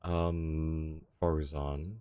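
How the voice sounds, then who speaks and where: A man's voice: rumbling low, flat delivery, very slow, warm tone, clean tone, dry recording. A man chatting casually as a game streamer, thinking aloud in an informal way. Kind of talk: casual livestream chat and game commentary.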